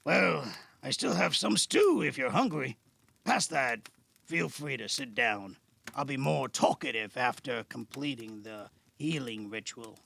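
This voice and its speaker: Man playing a gravelly, gruff older healer. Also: medium pitch, expressive, unhurried, sharp tone, full character voice. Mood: weary, hospitable. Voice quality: gravelly, creaky. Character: gruff older male healer and storyteller, guarded but kindly. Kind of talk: amateur audio drama acting. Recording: faint background noise.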